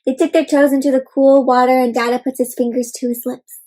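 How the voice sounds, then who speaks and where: High-voiced woman reading to children. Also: brisk. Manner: animated, expressive, addressing children directly with tag questions. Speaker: children's read-aloud host. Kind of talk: interactive picture-book read-aloud for young children.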